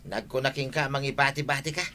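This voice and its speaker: A man's voice: medium pitch, fairly even intonation, fast talker, pushed and bright, slight background noise, close to an everyday voice. A man acting a scheming comic rascal in an audio drama. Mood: teasing. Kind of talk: comedic radio-style drama acting.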